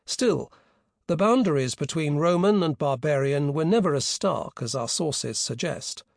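A man reads a history book aloud in a measured, precise way, taking care over every foreign name. His voice sits a little higher than most men's. The recording is dry and close, with almost no room sound. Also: animated, vocal fry, no echo. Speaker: audiobook narrator. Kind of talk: scripted narration of a history book.

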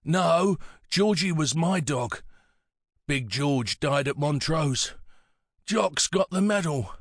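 A man voicing a frail, tired elderly war veteran who speaks in halting phrases with a croaky, wheezy voice. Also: fairly high pitch, animated, dry recording, clean studio sound, voice pushed up, exaggerated voice. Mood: earnest, halting. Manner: halting, short slow phrases.

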